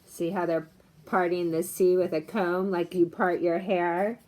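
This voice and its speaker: A woman reading a story to children, pausing for casual explanatory asides and questions to her listeners. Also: lowish voice, little pitch movement, darkish tone, poor audio.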